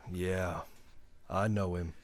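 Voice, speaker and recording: Man acting haunted frontier lawman, lowish voice, weary, old-time radio western.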